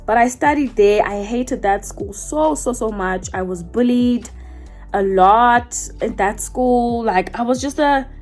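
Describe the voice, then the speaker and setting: A woman's voice: a little high, a little slow, darkish tone, faint music behind. A South African woman in her twenties, talking casually to camera, rambling and correcting herself as she goes. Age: 20s. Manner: chatty, rambling, self-correcting. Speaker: YouTuber. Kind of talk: casual get-to-know-me chat.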